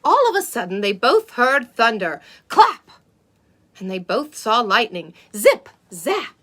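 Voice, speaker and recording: A woman's voice: on the high side, very animated, takes it slowly, pushed and bright, full character voice. A woman playing a sweet, wide-eyed unicorn who hosts story time for children, with cooing wonder and exaggerated emphasis. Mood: storytelling wonder. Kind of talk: animated picture-book reading for children.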